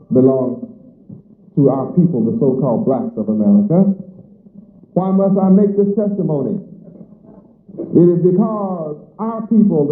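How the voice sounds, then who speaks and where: Male preacher, emphatic repetitive cadence, fairly high voice, thin phone-like old recording with roomy echo. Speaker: religious teacher. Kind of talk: sermon. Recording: echoey lo-fi phone-like archive recording.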